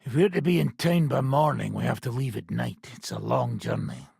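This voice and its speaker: A very hoarse, heavily gravelled medieval mercenary boss from a video game. He is gruff, sardonic and blunt, giving orders with a pitch that moves about freely. The sound is close and dry. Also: huge pitch swings, normal speed, a little mellow, no echo, exaggerated voice. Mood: weary, grim. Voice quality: gravelly, raspy. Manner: blunt, sardonic, commanding, swearing. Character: gruff, foul-mouthed ageing medieval mercenary band leader.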